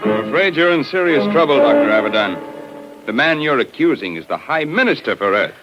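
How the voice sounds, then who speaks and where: An actor playing a stern, official, by-the-book colonel in 1950s radio drama style. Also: male voice, mid-range voice, very animated, loud music behind the voice, lightly acted. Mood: stern, skeptical. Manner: official, measured, warning. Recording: muffled old radio recording.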